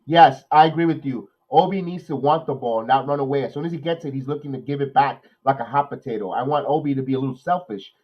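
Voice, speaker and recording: A man, nasal from a cold, hosting a watch-along podcast, calling plays with excitement and then rambling through his opinions. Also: mid-range voice, fast talker, few pauses, muffled recording. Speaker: podcast host doing live play-by-play. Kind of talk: watch-along game commentary and chat.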